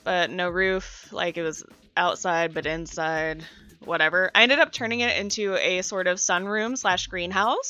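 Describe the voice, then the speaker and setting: A woman's voice: mid-range voice, sharp tone. A woman with a congested voice, getting over a cold, narrating a build video in a chatty way and talking straight to her viewers. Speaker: video game build creator. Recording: dull lo-fi voice-over with music behind.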